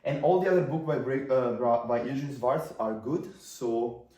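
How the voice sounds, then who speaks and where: A man's voice: medium pitch, moderate pace, darkish tone, echoey room, far from the mic. A man in his twenties with an Italian accent, whose first language is Italian, talking casually to camera about marketing in a rambling way with fillers and restarts. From Italy. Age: 20s.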